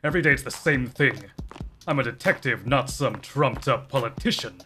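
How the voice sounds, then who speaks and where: Man playing a forceful, dry police detective. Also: medium pitch, brisk, faint music behind, natural voice. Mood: resolute. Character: stubborn, world-weary male police detective. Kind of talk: noir parody audio drama.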